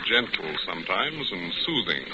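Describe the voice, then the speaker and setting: An actor playing a courteous, musing, enigmatic stranger in a 1940s radio drama. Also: male voice, low voice, sing-song, normal speed. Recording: muffled, very noisy old radio recording.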